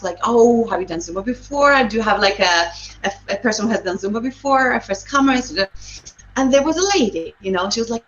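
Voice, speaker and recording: A woman from Greece with a Greek accent, a guest on a casual video call, who tells stories in an animated way and laughs often. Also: mid-range voice. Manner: animated storytelling, laughs often, acts out voices in quotes. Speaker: guest, dance fitness instructor. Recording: dull lo-fi video-call audio.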